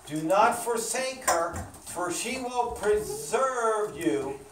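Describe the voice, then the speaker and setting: A narrator presenting a children's puppet show in deliberate, declamatory scripted storybook narration. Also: medium pitch, animated. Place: small indoor meeting room with audience of children. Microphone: distant camera microphone. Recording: echoey room, distant, background hiss.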